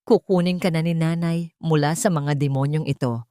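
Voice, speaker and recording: A woman voicing a tender, resolute young mother in a story, speaking to her baby in a hushed, determined manner. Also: sits low, steady tone, moderate pace, dry recording, studio quality, natural voice. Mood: tender resolve.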